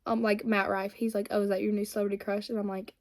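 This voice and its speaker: A woman's voice: medium pitch, level tone, quick pace, a little mellow. A woman, chatting casually and rambling while she does her makeup. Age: 20s. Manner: chatty and rambling, many fillers. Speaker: beauty vlogger.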